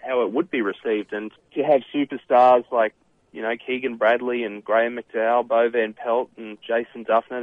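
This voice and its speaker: A man in his thirties from Australia, with an Australian accent, a professional golfer answering radio interview questions haltingly with many fillers. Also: lowish voice, no room sound. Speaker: professional golfer and author, guest. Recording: tinny phone line.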